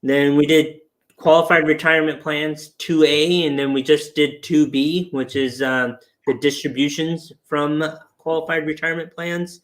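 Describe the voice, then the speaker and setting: A man in his forties with a slightly higher voice talks through retirement planning in an easy, explanatory way. He sounds relaxed and laughs now and then. The sound comes over a video call from a room at home. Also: a little slow. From England. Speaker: financial planner guest. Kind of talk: casual webinar explanation.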